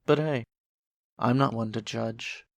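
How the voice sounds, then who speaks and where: A man playing a lazy, laid-back skeleton who makes puns in a fan audio drama, talking in a casual, unhurried, slangy way. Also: mid-range voice, steady tone, creaky, dry recording. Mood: laid-back, amiable.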